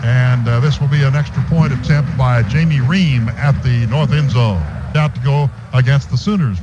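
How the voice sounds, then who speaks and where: A man's voice: medium pitch, moderate pace. An American man calling live football play-by-play on the radio, stretching words as plays develop. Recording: dull radio broadcast, clearly noisy.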